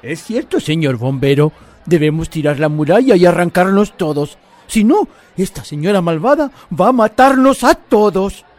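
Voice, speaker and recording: An actor plays an excitable Chilean townsman in a radio drama. His voice is high-pitched and very animated, swinging with each phrase. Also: moderate pace. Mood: alarmed. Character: alarmed, excitable male villager. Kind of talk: Spanish-language radio theatre acting.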